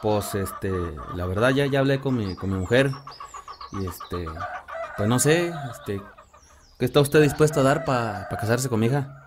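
Casting: actor as mocking, grasping rural Mexican father, medium pitch, bumbling speech, audio fiction over noisy farmyard. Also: lightly acted. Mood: scheming, hesitant. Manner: halting, sly, colloquial. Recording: clear farmyard noise, clucking hens.

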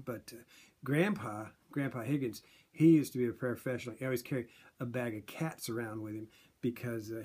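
An older man in his sixties sits close to his webcam in a small room at home and rambles through a tall tale. He has a husky, slightly raspy voice and a playful, deadpan delivery. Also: medium pitch. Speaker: vlogger. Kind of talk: rambling comic monologue. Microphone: webcam at desk distance.

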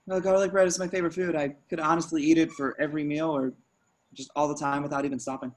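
An anxious young slacker musician, played by a man at a table read. He stumbles through his lines nervously and awkwardly. His speech is halting and stammering, in the manner of an amateur film-script reading. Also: on the high side, flat delivery, close to an everyday voice. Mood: sheepish. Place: remote video call.

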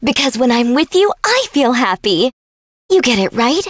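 A woman's voice: fairly high pitch, very animated, moderate pace, no echo, clean studio sound. A woman voicing a sweet, cheerful young dream fairy girl in an English fantasy game, bubbly, earnest and affectionate. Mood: earnest pleading.

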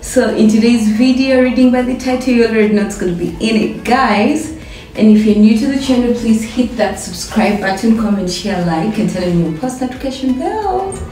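A woman's voice: fairly high pitch, expressive, normal speed. A young woman vlogging casually as she gets ready, chatty and playful, often repeating words for fun. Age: young adult. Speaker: vlogger and home baker. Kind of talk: casual get-ready-with-me vlog chat. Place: bathroom with tiled walls and glass shower. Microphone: camera set back across the room. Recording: echoey bathroom, heard from a distance, soft music.

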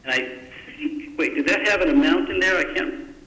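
A man speaking as a council member, politely and haltingly asking budget questions in a public meeting. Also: high voice, pushed and bright. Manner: hesitant, polite, self-interrupting. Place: indoor council chamber. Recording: echoey meeting room.

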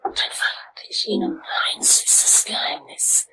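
An actor voicing a menacing, seductive tooth fairy in a crime audio drama, whispering slowly and threateningly. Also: close to an everyday voice, low-quality recording. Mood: sinister, coaxing.

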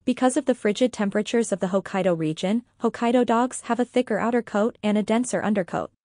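A woman reading a scripted educational podcast narration in a measured, formal manner. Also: medium pitch, darkish tone, dry recording, studio quality.

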